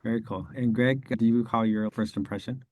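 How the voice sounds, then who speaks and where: A man's voice: mid-range voice, steady tone, fairly quick, a little mellow, no room sound. A man hosting an interview, asking questions and giving short encouraging replies. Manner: brief, encouraging interviewer prompts. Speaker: podcast host. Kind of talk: casual video call interview.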